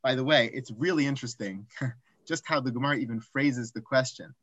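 A man teaching an online Talmud class, raising rhetorical questions and dropping into quiet asides. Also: medium pitch, fairly quick, dry recording, dull audio. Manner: animated, asks rhetorical questions, drops to asides.

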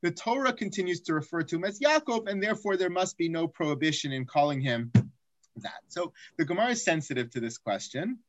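A man teaching an online Talmud class, raising rhetorical questions and dropping into quiet asides. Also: fairly high pitch, fairly quick, no echo. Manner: animated, asks rhetorical questions, drops to asides.

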